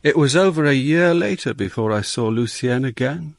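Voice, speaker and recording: Wry, expressive police inspector. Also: male voice, mid-range voice, normal speed, creaky, no echo. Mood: reflective. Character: wry Amsterdam police inspector, an outsider, probing and world-weary. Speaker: lead actor and narrator. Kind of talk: radio drama acting with first-person narration.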